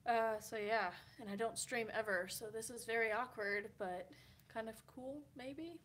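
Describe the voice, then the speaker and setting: A woman's voice: on the high side, a little slow, fairly bright tone, a little hiss or hum. A woman in her early twenties from Kansas, chatting casually on a livestream from a hotel room, rambling with lots of "like" fillers. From Kansas, USA. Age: 20s. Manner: chatty, rambling, many "like" fillers, laughs often. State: tired. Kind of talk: casual livestream chat reading comments.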